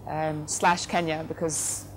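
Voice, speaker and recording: A middle-aged woman from the Netherlands, a company head giving thoughtful interview answers, often pausing with fillers and correcting herself. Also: deep voice, level tone, poor audio. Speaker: company CEO, interview guest. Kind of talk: relaxed conversational interview answers. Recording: noticeable background noise.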